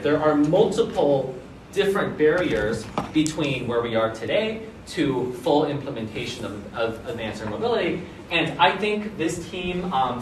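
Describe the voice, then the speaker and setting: Visiting researcher, man, casual lecture style, frequent "right?", echoey room with faint noise behind. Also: a little high. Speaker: visiting researcher giving a seminar. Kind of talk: academic seminar talk. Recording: echoey room, mic at a distance.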